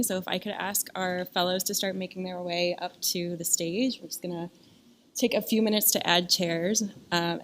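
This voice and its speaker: Woman, lower voice, measured stage introduction, faint background hum. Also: poor audio. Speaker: research director, event presenter.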